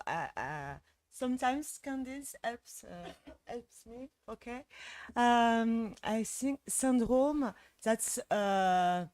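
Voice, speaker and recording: Halting French-accented woman, slow. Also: lowish voice, a little mellow, no echo. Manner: halting, searching for English words, many fillers. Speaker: actress. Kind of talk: press conference answers.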